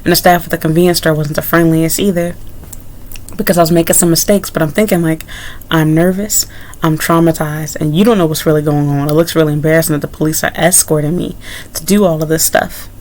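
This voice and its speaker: A woman's voice: low voice, fairly even intonation, brisk, a little mellow. A young adult woman vlogger telling a personal story in a candid, rambling way, with frequent asides to her viewers. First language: English. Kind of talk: casual story-time monologue.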